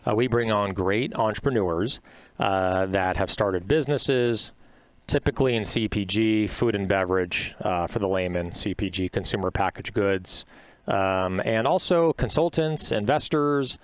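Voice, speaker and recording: Low, smooth-voiced chatty podcast host. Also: male voice, measured pace, dry recording. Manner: chatty, upbeat, filler-heavy. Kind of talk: podcast interview.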